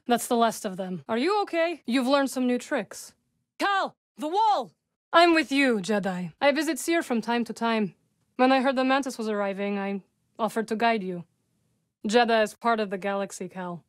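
A composed young witch from a video game, as a woman voices her, with a slightly high voice and measured, formal phrasing. Her humor is dry and understated. The close studio sound is very dry, with no room around it. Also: no echo, natural voice. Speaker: game companion character. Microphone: close studio mic.

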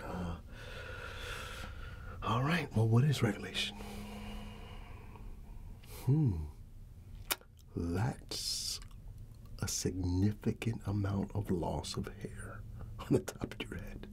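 Middle-aged man, slow unhurried delivery, soft breathy half-whisper, easygoing barber uncle, colloquial ASMR roleplay. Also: lowish voice, animated. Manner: unhurried, familiar and colloquial, with pauses for unheard replies. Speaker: ASMR performer playing a barber. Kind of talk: ASMR roleplay, casual one-sided chat.

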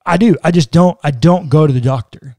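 A man in his thirties with a dark, mellow voice, co-hosting a relaxed podcast conversation. He muses aloud with plenty of "like"s, recorded close on a studio mic in a dry, small room. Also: a little high, brisk, no echo. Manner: reflective, talks it through with frequent "like". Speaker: podcast co-host. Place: small room indoors, seated in armchair. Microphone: boom-arm studio mic close in front.

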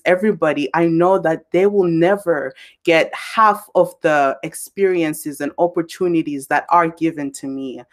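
A woman of twenty from Zimbabwe asking thoughtful questions as a student interviewer on a video call from a bedroom. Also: sits low. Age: 20s. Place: small bedroom at home. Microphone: laptop webcam on video call.

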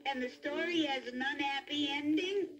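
A man's voice: very high-pitched, normal speed. A man voicing a small, innocent and curious young cartoon bear sidekick. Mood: surprised. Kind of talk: cartoon dialogue.

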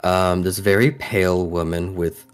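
Halting low-pitched man as game master. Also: fairly even intonation, smooth. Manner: halting, hesitant, searching for words. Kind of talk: narrating a tabletop game.

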